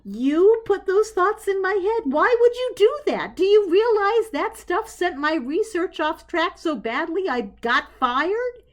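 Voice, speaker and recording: A woman's voice: extremely high pitch, very animated, measured pace, few pauses, pitched above the performer's own voice, exaggerated voice. A woman acting an exasperated, incredulous young woman in a story, agitated and slangy. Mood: upset, incredulous. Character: exasperated, incredulous young woman, freshly unemployed fact checker. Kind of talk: dramatized storytelling.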